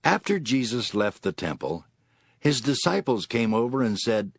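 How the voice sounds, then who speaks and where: Husky male narrator with lively intonation. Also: medium pitch, moderate pace, dry recording. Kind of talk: scripted scripture narration.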